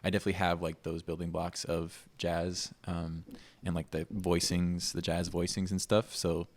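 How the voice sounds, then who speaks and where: A man in his twenties from Minnesota, chatting casually in an interview, relaxed and thoughtful with frequent fillers. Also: low-pitched, normal speed. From Minnesota, USA. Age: 20s. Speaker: pianist guest.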